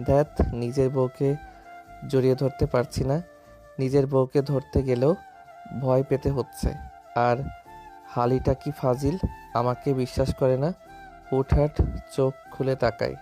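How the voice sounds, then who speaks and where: A man's voice: mid-range voice, flat delivery, measured pace, long pauses, warm tone, faint music behind, lightly acted. An actor playing a brooding, deferential young married man in a romantic audio story, speaking in a plain, subdued way. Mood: grumbling.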